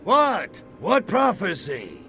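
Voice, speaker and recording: A man voicing a cocky, wisecracking little alien invader in a video game, sardonic and slangy with a gravelly voice. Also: high-pitched, wildly swooping pitch, slow pace, loud music behind the voice, heavily acted. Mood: incredulous. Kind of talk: video game cutscene acting.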